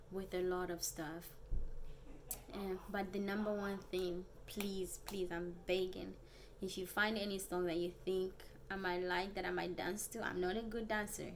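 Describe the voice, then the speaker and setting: A young woman from Africa with an African accent, a native Bemba speaker, chatting casually and earnestly to viewers in a vlog, haltingly and often repeating phrases. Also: lowish voice, a little hiss or hum. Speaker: vlogger. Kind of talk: casual personal vlog chat.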